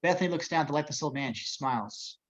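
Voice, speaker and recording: A man's voice: on the high side, animated, very fast, fairly bright tone, muffled recording. A man narrating the action of a script as reader of stage directions in an amateur table reading.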